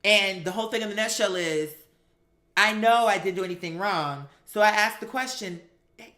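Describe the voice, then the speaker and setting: Female storyteller, mid-pitched and bright, sassy and profane, emphatic delivery, echoey live room. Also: moderate pace. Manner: sassy, emphatic, acts out quoted dialogue; profane, slangy. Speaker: storyteller recounting a personal experience. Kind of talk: animated personal storytelling.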